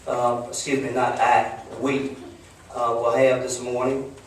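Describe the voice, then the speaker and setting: A middle-aged man, a board member, formally addressing a public meeting in a measured, plain-spoken, earnest way. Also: medium pitch, flat delivery. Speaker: board member with law enforcement background. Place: echoey public meeting room. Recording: very echoey room, distant mic, some noise.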